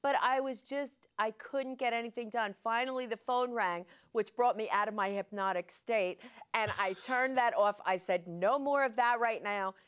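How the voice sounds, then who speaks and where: A woman with a somewhat high voice hosts a casual interview. She tells stories with animated enthusiasm and asks her guest questions directly. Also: normal speed, smooth. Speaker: interview host.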